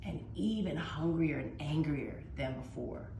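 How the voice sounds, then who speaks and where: A woman's voice: low-pitched, lively intonation, vocal fry, dark tone. A woman reading a children's storybook aloud as a teacher, with dramatic, emphatic expression. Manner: dramatic, with emphatic drawn-out words. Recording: roomy echo, faint background noise.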